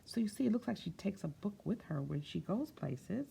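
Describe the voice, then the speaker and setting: A woman reading a picture book aloud for children, stretching key words expressively and pausing for soft explanatory asides. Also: mid-range voice, very animated, creaky, slight background noise. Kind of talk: read-aloud story time with explanatory asides.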